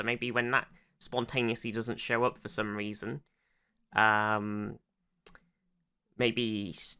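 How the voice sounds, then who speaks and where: A podcast co-host in casual discussion, explaining things haltingly, circling back and swearing freely. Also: medium pitch, sharp tone, clean tone.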